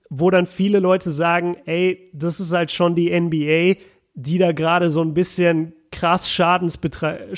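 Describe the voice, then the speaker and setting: Chatty young man with a raised pitch. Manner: chatty, many fillers and restarts, mixes English words in. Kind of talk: casual podcast discussion.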